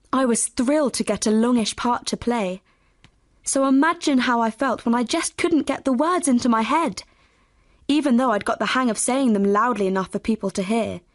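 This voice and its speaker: Woman, higher voice, determined exam monologue, dry studio sound. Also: dry recording. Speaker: exam recording speaker. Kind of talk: scripted monologue reading.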